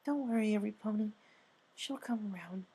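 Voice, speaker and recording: A man voicing a timid, gentle female pony in a storybook, speaking softly and hesitantly as if unsure of herself. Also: high-pitched, a little fast, breathy edge, voice pushed up. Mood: timid reassurance. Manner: unconvinced, hesitant, soft.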